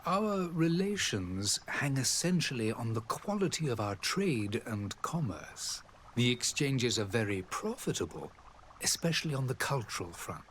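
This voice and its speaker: A dignified game diplomat with a mid-pitched, highly expressive delivery. He is formal, proud and eloquent, measuring out courteous sentences over faint ambient noise. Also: a little slow. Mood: proud, explanatory. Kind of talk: formal role-playing game dialogue.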